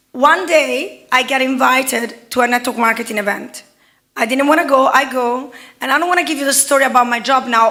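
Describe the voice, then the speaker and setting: A woman in her forties with an Italian accent tells her testimony at a church service. Her high, bright voice carries a lively storyteller's manner, and she laughs often as she talks. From Italy. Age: 40s. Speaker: guest preacher. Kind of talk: personal testimony sermon.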